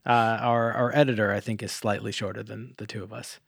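A man's voice: lowish voice, level tone, a little fast, creaky, breathy edge, dry recording, studio quality. A middle-aged man chatting casually as a podcast host, geeky and given to swearing. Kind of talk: casual chat.

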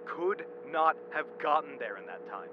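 A man's voice: on the high side, animated, normal speed, phone-quality sound, noisy background, lightly acted. A man playing a cautious, exasperated van driver in an audio drama, with a wry, grumbling manner. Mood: worried, exasperated.

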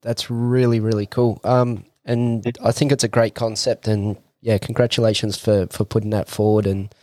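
A man's voice: lowish voice, flat delivery, moderate pace, mellow, no echo. A man hosting an interview, talking hesitantly with many fillers as he responds to his guest. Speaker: host. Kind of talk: interview host.

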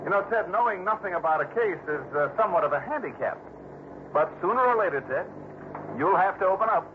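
A man's voice: high voice, lively intonation, quick pace, background noise. An American man playing a breezy, wry insurance investigator who narrates his case in a 1960s detective radio drama. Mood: probing, easygoing. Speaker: lead actor and narrator. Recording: muffled old radio broadcast, music.

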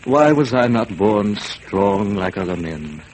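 Gentle idealist king, earnest and low. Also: animated, slow pace, a little hiss or hum, poor audio. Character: gentle, idealistic frail pharaoh.

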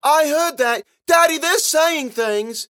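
A man's voice: very high-pitched, slow, a bit bright, voice pushed up, exaggerated voice. A man voicing creepy, gleeful little girls with an insistent, babbling, squealing delivery in a narrated story. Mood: indignant. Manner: babbling, giggly, repetitive and insistent.

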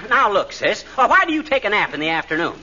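A man's voice: sits high, very animated, fast, background noise. An actor playing a cocky, blustering middle-aged man with folksy, slangy banter in 1940s radio comedy style. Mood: exasperated explaining. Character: cocky, folksy, blustering wisecracking husband and schemer. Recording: dull 1940s radio recording.

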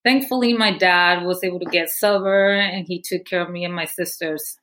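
Candid woman from Guatemala, low voice. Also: normal speed, fairly bright tone, low-quality recording. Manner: candid, with frequent fillers. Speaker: guest, movement founder. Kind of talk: personal story interview.

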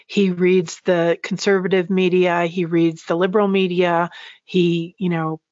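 A woman's voice: fairly low pitch, level tone, unhurried, a little mellow, dry recording, highs cut off. A woman interviewing her guest in a casual, chatty and personal way.

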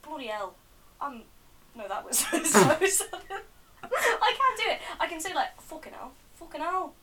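A woman's voice: high voice, very animated, moderate pace, crowd noise. Giggly nasal young woman. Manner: giggly, playful banter. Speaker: podcast co-host. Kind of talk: casual chat.

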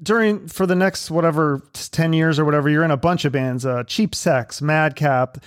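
A male podcast host with a fairly high voice asks easygoing questions. His voice is recorded close and dry, with almost no room sound. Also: moderate pace, no room sound. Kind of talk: casual interview.

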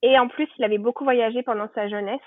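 A woman from France tells her story in a loose, rambling way, with plenty of fillers and restarts. Her voice sits a little high and the sound is tinny and narrow, like a phone line. The room gives off no echo at all. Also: fairly even intonation, quick pace. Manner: chatty, warm storytelling with frequent fillers and restarts. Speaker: guest. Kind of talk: casual podcast conversation.

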